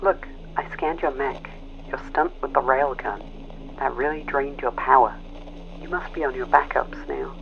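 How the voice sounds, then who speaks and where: A man voicing a wounded young female imperial mech pilot, prickly and anxious, in a clipped, halting way over a radio filter for an audio drama. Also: medium pitch, vocal fry, highs cut off, soft background music, voice pushed up. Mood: anxious, hurting. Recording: fuzzy band-limited radio with faint noise.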